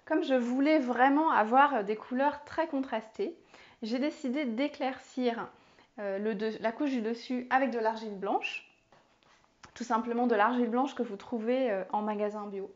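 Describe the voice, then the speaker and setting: Calm woman teaching soap making. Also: a little high, moderate pace. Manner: clear, calm instructional tone with occasional fillers. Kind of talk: step-by-step tutorial explanation. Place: home kitchen, indoors. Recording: slightly dull lo-fi sound.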